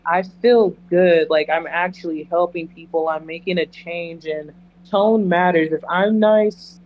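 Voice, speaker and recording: High-voiced man with noisy hum behind. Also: steady tone, unhurried, muffled recording. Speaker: interview guest, emergency dispatcher. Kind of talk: earnest conversational interview answers.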